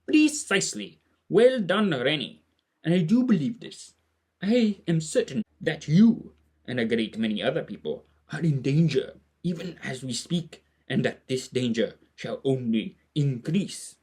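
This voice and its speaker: An actor playing a kindly, earnest old mentor in a family audio drama, speaking in formal, deliberate phrases. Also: medium pitch, very animated, darkish tone, husky. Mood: earnest, measured.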